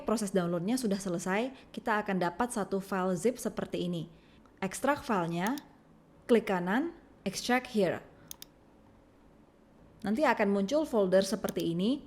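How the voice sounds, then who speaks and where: A woman with an Indonesian accent reading a scripted software tutorial, giving clear step-by-step instructions. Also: mid-range voice, lively intonation, normal speed. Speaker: tutorial narrator.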